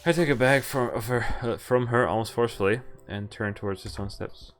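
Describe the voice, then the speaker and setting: A man with a mid-pitched voice plays a story game on stream, with music behind him. He reads the text aloud in a casual way and breaks into animated, joking commentary. He stumbles on words now and then and corrects himself. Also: fairly quick. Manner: animated, jokey reactions. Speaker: game streamer doing a visual novel playthrough.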